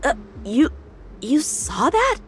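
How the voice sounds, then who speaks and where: Game dub role: eager teen boy swordsman, highish, very animated, confident and courteous. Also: takes it slowly, slight background noise, full character voice. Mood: flustered. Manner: earnest, animated. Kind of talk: English video game dub acting.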